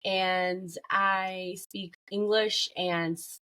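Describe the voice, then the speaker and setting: A woman's voice: mid-range voice, flat delivery, drawn-out words, bright, forceful tone. A woman talking conversationally as a guest on a podcast interview. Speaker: podcast guest, workplace well-being consultant.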